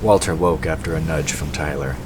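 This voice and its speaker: Very deep male story narrator. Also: fairly quick, background noise.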